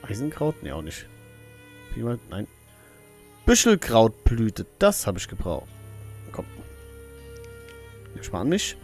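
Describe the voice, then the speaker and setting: A man's voice: lowish voice, wildly swooping pitch, measured pace, long pauses, darkish tone. Male let's play host: casual, playful, swinging intonation, self-directed muttering, faint music underneath. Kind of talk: casual gaming commentary. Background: faint game music.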